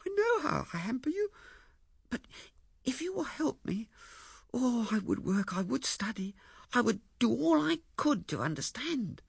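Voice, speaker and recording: A man voicing a timid, pleading young wife with a halting, breathy, tearful delivery in an audiobook. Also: very high-pitched, very animated, no room sound, studio quality, pitched above the performer's own voice, heavily acted. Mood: pleading, hurt. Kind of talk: audiobook character acting.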